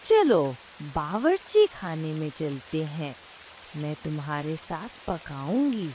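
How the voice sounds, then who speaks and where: Slow-talking, lively village mother-in-law. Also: sits low, exaggerated voice, poor audio. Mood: brisk, pleased. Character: village mother-in-law, a proud, caring home cook. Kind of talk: dramatised cartoon story dialogue. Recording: faint hiss with rain sounds.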